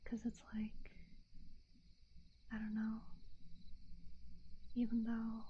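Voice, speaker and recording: A young woman whispers close to the mic, her voice a little high and breathy, her talk hesitant and candid with many small fillers. The audio is slightly dull, with a faint hiss underneath. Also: monotone, extremely slow. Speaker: VTuber streamer. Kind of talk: whispered ASMR-style stream chat.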